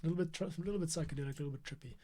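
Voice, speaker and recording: A man's voice: a little high, very fast. Man muttering through a casual editing tutorial. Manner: muttering to himself while working. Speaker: podcast editor giving a tutorial. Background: keyboard typing and mouse clicks.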